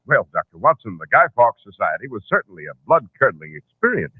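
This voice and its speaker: A man's voice: medium pitch, very animated, brisk, very noisy. A man reading scripted announcements as a 1940s radio announcer. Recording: tinny vintage radio recording with organ. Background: organ music.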